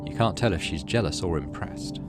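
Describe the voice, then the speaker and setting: A man's voice: low-pitched, animated, a little fast. A man hosting a gaming playthrough, mixing casual commentary with read-aloud story narration. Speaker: gaming channel host. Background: game music.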